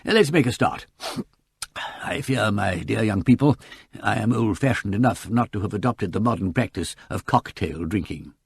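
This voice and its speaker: A man's voice: fairly low pitch, animated, moderate pace, dry recording, clean studio sound, full character voice. Man playing a fussy elderly English solicitor. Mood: genial, mild. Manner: hesitant, precise, throat-clearing, formal. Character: courteous, fussy, old-fashioned elderly male solicitor.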